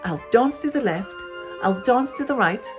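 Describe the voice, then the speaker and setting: A woman voicing a defiant, excitable, imaginative little girl in a children's storybook, boastful and theatrical. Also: a little high, moderate pace, soft background music, voice pushed up, close to an everyday voice. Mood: boastful, excited.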